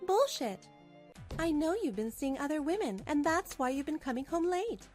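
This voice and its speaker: A woman acts out a betrayed young wife in a manga drama, her voice very high. She is cold, scornful and righteously angry, biting into each accusation. Soft music sits behind her. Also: animated, moderate pace. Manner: cutting, accusatory. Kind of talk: dubbed manga drama acting.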